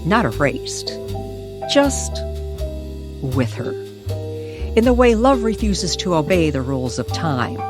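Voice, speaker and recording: A woman reading a tender, eerie story as a dramatic narrator, deliberate and pausing between short phrases. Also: on the low side, very animated. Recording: music under the voice.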